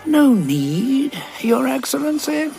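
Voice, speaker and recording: An actor with a British accent playing a weary, indignant old Time Lord warrior in an audio drama, gravelly, dry and sarcastic. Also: male voice, extremely high pitch, very animated, takes it slowly, a little mellow, soft background music, full character voice. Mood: curt, wry. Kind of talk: full-cast audio drama acting.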